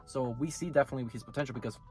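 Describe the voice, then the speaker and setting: A man runs his anime review video in a casual, rambling way, often stopping to correct himself mid-sentence. He is sick, and his voice sounds hoarse and raspy throughout. His voice sits at an ordinary middle pitch, with soft music faintly underneath. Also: steady tone, racing.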